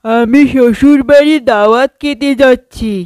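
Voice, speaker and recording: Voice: high-pitched, fairly even intonation, moderate pace, darkish tone, clear, resonant tone, no echo, natural voice. An actor voicing a small, sly mouse in a children's cartoon, coaxing in a mock-innocent way. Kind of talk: children's fairy-tale cartoon voice acting.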